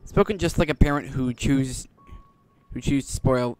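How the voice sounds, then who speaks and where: A man giving casual, musing gameplay commentary with halting delivery as he hosts. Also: medium pitch, a little mellow, smooth, no room sound, soft background music. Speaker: gaming video host. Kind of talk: casual gameplay commentary, reading dialogue aloud.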